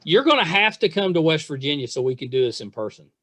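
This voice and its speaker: A man hosting a podcast in casual chat, gushing like an enthusiastic fan in halting, restarting sentences. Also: a little high, sing-song, a bit bright, dry recording. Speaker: podcast host.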